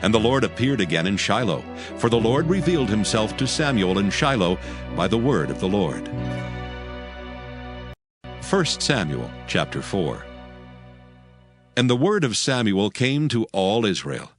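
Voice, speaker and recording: A man narrating a dramatized Bible in a measured, formal reading style. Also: on the low side, big pitch swings, over music.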